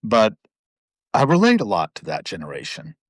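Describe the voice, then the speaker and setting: A man's voice: lowish voice, huge pitch swings, normal speed, no room sound, clean studio sound. A middle-aged man hosting a casual live video chat, talking in long, rambling, digressive stretches. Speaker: host. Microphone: video call.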